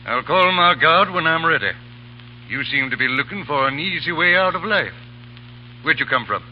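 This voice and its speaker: An actor playing a blunt, fiery general in a 1930s radio drama, talking in a gruff, commanding way. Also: male voice, fairly high pitch, lively intonation, normal speed, natural voice. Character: stern older male general, blunt and fiery. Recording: muffled old radio broadcast with hiss.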